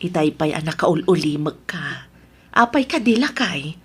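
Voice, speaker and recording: A woman acting a worried, caring elderly wife and mother with a husky voice in a radio drama. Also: low-pitched, lively intonation, measured pace, exaggerated voice. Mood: gently worried.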